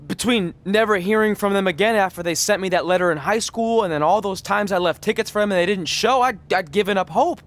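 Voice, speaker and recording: A man's voice: high-pitched, fairly quick, hardly any pauses, natural voice. A man acting a goofy, eager young wrestler in a video game cutscene, chatting with boyish earnestness. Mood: hopeful excitement. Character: eager goofy young male pro wrestler, wisecracking and naive.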